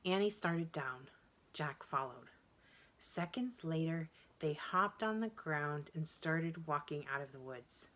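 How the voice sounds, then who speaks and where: A woman reading a children's story aloud in an expressive, deliberate way. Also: deep voice. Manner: animated, deliberate, acts out dialogue. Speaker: storybook reader.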